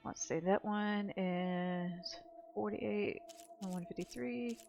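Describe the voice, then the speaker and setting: A woman's voice: medium pitch, slow, vocal fry, darkish tone. A woman giving casual video game walkthrough commentary, thinking aloud in a rambling way. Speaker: gaming video host. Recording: game music behind the voice. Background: video game music.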